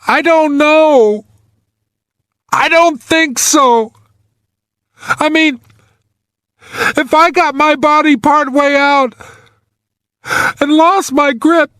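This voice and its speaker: An audiobook figure of a trapped, injured young man, acted out by a male narrator. The voice is pitched very high and swings widely, strained and pleading with fear. It is recorded dry and close. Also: expressive, takes it slowly, fairly bright tone, no room sound, full character voice. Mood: fearful, doubtful. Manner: pleading, strained, near tears. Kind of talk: audiobook character dialogue.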